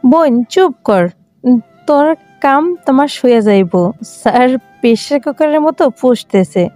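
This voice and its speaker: A woman's voice: high-pitched, expressive, normal speed, warm tone, dry recording, soft background music. A woman playing a teasing, outspoken young best friend in a romantic audio story. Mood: amused, warning. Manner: teasing, blunt.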